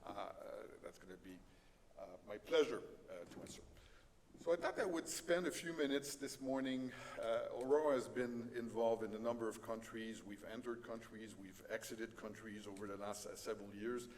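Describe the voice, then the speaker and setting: A middle-aged man with a French Canadian accent gives a conference presentation in a halting way, with many fillers and light self-deprecating humor. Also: medium pitch, darkish tone. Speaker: conference speaker. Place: conference hall. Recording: reverberant conference hall with faint noise.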